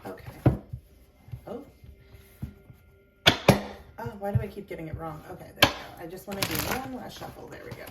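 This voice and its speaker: A young woman reads tarot cards in a casual, self-deprecating way, slightly under the weather with a scratchy throat. Her voice carries some room echo and sits a little back from the microphone, with clear background noise throughout. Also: mid-range voice, animated, normal speed, pauses often. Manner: chatty, self-deprecating, laughs often. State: slightly sick with an itchy throat and chest cough. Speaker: tarot reader. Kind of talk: casual tarot reading to camera. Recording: roomy echo, mic at a distance, noisy.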